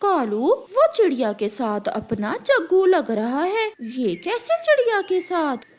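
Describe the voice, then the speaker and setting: A woman voicing a stern but softening female hunter bird in a cartoon, firm and measured. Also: extremely high pitch, wildly swooping pitch, heavily acted.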